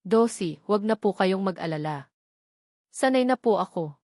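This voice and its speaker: Storybook drama: a humble young male guard, quietly polite and soothing, as read by a woman narrator; mid-range pitch, dry recording. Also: expressive, moderate pace, no room sound, natural voice. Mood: gentle.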